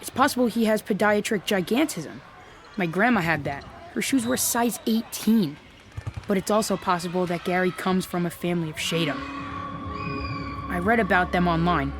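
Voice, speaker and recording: A woman plays a nerdy know-it-all kid in a lowish voice. The child explains things matter-of-factly and pedantically in a horror radio play, with soft music behind. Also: normal speed, natural voice. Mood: pedantic. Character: bookish, pedantic know-it-all schoolchild, big-eared.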